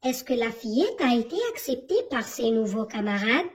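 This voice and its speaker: Female teacher, high animated voice, measured pace, leads kids through a text. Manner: slow, questioning, didactic. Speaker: primary school teacher. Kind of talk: reading lesson for children.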